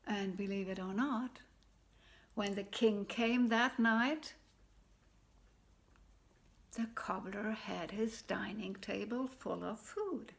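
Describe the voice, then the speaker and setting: A woman telling a folk tale aloud, in a gentle, unhurried manner with small pauses. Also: medium pitch, creaky. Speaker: storyteller. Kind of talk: oral folk tale storytelling.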